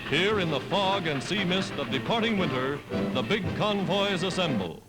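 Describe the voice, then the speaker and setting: Male newsreel announcer, 1940s style. High voice, declamatory and dramatic, vintage soundtrack with loud music underneath. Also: lively intonation, normal speed, low-quality recording. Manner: declamatory, dramatic scripted delivery. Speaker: newsreel narrator. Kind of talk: 1940s wartime newsreel narration.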